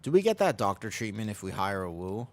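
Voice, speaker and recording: A man's voice: fairly low pitch, sing-song, fairly quick. An American man streaming a game, chatting casually and rambling as he answers chat and laughs easily. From United States.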